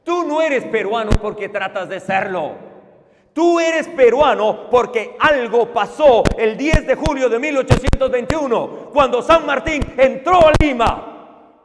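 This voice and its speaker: High-voiced preacher in a reverberant hall. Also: male voice, measured pace, background noise. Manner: emphatic, rhetorical questions to the audience. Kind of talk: conference sermon. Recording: live hall recording with long reverb.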